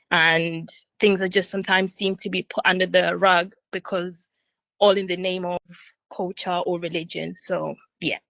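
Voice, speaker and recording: A woman's voice: fairly low pitch, fairly bright tone, no echo. A woman in her twenties, born in Zimbabwe and raised in the UK, speaking thoughtfully on a panel with hesitant fillers. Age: 20s. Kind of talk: panel discussion on a video call. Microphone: video call. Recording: dull, dry video call audio.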